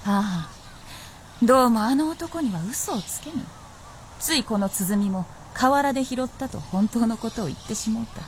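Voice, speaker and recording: An actress playing a sly, bold princess of feudal Japan in a period radio drama, with poised, archaic speech. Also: female voice, fairly high pitch, lively intonation, moderate pace, slight background noise, natural voice. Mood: frank, bossy.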